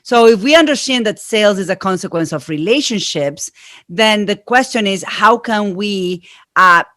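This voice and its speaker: A woman's voice: mid-range voice, lively intonation, moderate pace, a bit bright, no room sound. Middle-aged woman with an Argentine accent. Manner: earnest, explanatory. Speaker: guest. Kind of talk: interview answers.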